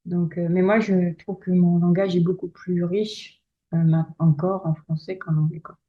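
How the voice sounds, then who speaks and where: A woman's voice: fairly low pitch, level tone, moderate pace, dull audio. A woman lawyer and author, a guest in an interview, talking in a hesitant, restarting way full of fillers. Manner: hesitant, many restarts and fillers, laughs often. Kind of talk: casual interview conversation.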